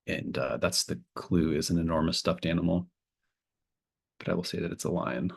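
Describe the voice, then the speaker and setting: Low pitched guy running a tabletop rpg, makes up the narration as he goes, kinda halting. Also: vocal fry, slightly rough. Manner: halting, thinks aloud mid-sentence. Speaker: game master. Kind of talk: improvised narration of a tabletop game.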